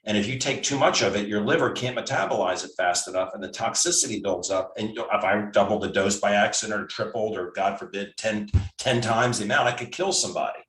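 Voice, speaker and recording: Low-voiced man in his sixties, roomy sound. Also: quick pace, few pauses, a bit bright. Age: 60s. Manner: conversational, explains step by step with frequent restarts. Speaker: physician guest. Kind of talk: casual explanatory chat. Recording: roomy and distant from mic.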